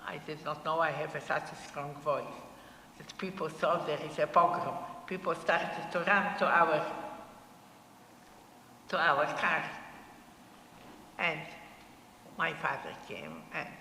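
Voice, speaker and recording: An elderly woman in her eighties, speaking, slowly gives her testimony in short, halting phrases. Her voice is gravelly and sharp-edged. It is heard in a long, echoing hall with faint noise in the background. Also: low-pitched, steady tone. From Poland. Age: 80s. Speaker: Holocaust survivor speaker. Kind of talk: personal testimony to an audience. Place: synagogue hall.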